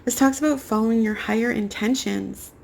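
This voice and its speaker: A young woman giving a rambling spiritual tarot reading to camera, her voice raspy as she gets over a cold. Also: on the high side, fairly even intonation, a little fast, a little hiss or hum. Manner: soft, meandering spiritual talk with many fillers. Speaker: tarot card reader.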